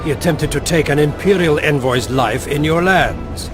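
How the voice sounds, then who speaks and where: A man's voice: on the high side, moderate pace, music in the background, natural voice. A man playing an indignant imperial ambassador in a video game, speaking formally and insistently. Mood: accusing. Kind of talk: video game dramatic dialogue.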